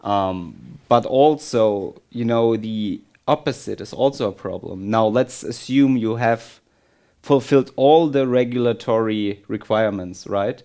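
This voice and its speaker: A man's voice: lowish voice, measured pace, warm tone. Explanatory man in a podcast conversation. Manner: explanatory, frequently checks in with "right?". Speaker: podcast host. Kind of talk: conversational business podcast discussion.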